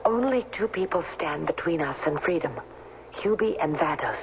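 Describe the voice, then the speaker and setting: Urgent radio-drama conspirator. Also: female voice, deep voice, animated, normal speed. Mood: resolute, troubled. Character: anxious, urgent female captive conspirator, guarded and resolute. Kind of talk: 1970s radio drama acting. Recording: dull, noisy 1970s radio recording.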